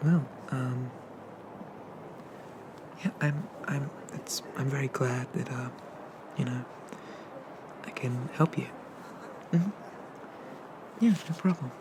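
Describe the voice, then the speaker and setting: A performer playing a friendly, bashful monster barista in a soft, hesitant, gently chatty whispered ASMR roleplay. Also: medium pitch, takes it slowly, pauses often, noisy background. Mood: kind, helpful. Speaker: coffee shop barista.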